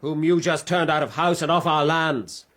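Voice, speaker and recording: A man plays a young upper-class English gentleman in a radio play. His voice sits fairly high for a man, and he speaks with firm, urgent, articulate conviction, like a dutiful son set on justice. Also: normal speed, natural voice. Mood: firm, resolute. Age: young adult. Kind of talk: radio drama acting.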